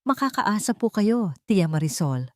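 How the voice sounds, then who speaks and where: A woman's voice: mid-range voice, big pitch swings, moderate pace, darkish tone, dry recording, clean studio sound, natural voice. A woman voicing a timid, humble young mother working as a new maid, polite and trembling, in a story.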